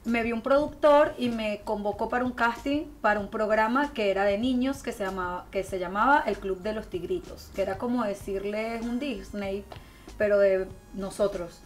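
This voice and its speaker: A woman's voice: mid-range voice, moderate pace, slight background noise. A woman with a Venezuelan accent chatting and telling stories expressively as a guest in a radio interview. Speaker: guest singer and songwriter. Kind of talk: casual radio interview storytelling. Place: radio studio. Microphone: close studio microphone with headphones.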